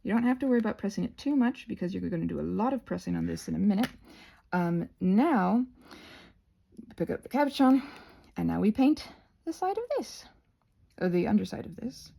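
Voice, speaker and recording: A woman's voice: medium pitch, lively intonation, brisk, vocal fry, pauses often, mellow. A woman giving a casual, rambling craft tutorial, often interrupting herself with playful asides.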